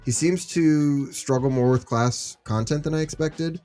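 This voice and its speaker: A man playing an easygoing, concerned high school teacher in a role-played case study, speaking informally and hesitantly with many fillers. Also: unhurried, a little mellow, soft background music, lightly acted.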